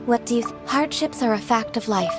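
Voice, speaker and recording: A woman voicing an earnest, reserved young half-immortal trainee in a video game, polite and measured. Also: fairly high pitch, over music, close to an everyday voice. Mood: calm, earnest.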